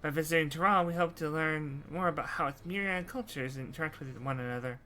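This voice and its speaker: A man's voice: fairly high pitch, fairly quick, pushed and bright, slight background noise. A man voicing an earnest young scholar adventurer in a fantasy game, with formal, articulate phrasing. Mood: diplomatic, earnest. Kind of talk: scripted game dialogue read-through.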